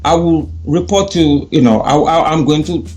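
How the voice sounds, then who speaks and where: A woman from Nigeria, a guest in a casual discussion who stresses her points by slowing her words down. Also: deep voice, dark tone, dull audio, soft background music.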